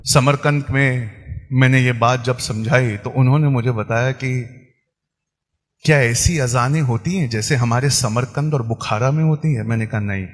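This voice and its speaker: A man with an Indian accent giving impassioned religious oratory in a deliberate, rhetorical, emphatic way. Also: medium pitch. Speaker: religious speaker addressing a gathering. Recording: echoey hall PA with background music.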